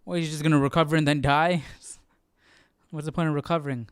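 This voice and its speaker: A man's voice: a little high, fairly even intonation, brisk, smooth, no echo, studio quality. A man hosting a casual live Bible study, musing and questioning as he thinks aloud. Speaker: host.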